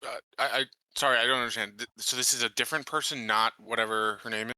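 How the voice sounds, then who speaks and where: Hesitant guy at a tabletop game, really sharp bright voice, dry sound. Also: mid-range voice, steady tone, fast, dry recording, poor audio. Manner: hesitant, stammering. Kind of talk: casual game chat.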